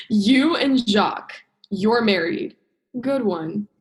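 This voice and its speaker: A woman's voice: medium pitch, expressive, extremely slow. A woman drama student acting a bitter, sarcastic young female scientist in a radio play, sardonic and emphatic, stretching stressed words. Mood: mocking. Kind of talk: radio play monologue and dialogue.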